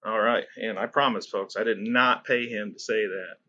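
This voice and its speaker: An upbeat, chatty American man hosting a podcast, quick to laugh. His voice sits a little low. It's a dry, close recording with no room sound. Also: steady tone, bright, forceful tone, no room sound, highs cut off. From United States. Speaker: podcast host. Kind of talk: casual podcast interview.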